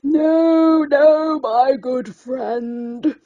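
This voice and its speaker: A woman voicing an ancient, kindly female lobster in a bedtime story, with a cracked old voice and slow, emphatic delivery. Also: high voice, phone-quality sound. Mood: solemn refusal. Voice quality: cracked, aged.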